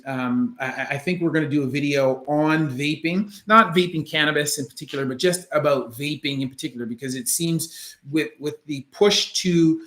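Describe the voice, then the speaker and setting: A man from Canada with a faint Jamaican trace in his Canadian accent. He is an orthopedic surgeon answering viewer questions in a casual livestream, talking in a measured way with many ums and restarts. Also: a little high, fairly bright tone. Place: his home office. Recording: roomy echo in a room.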